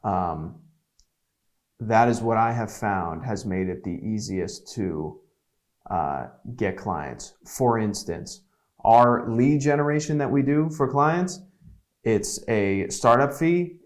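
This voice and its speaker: A man's voice: lowish voice, animated, measured pace. Blunt, plainspoken young man. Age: 20s. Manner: blunt, candid advice with casual swearing. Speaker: podcast guest, agency CEO. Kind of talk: casual podcast conversation.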